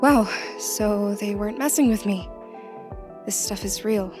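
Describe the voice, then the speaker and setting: An actress playing a young new employee in an audio drama, casual and expressive as she talks to herself, exasperated and curious. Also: medium pitch, measured pace, over music, close to an everyday voice. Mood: amazed.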